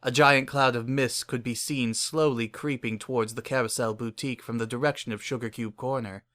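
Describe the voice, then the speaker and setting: A man's voice: medium pitch, fairly even intonation, fairly quick, fairly bright tone. A man narrating a scripted story, reading the prose as written.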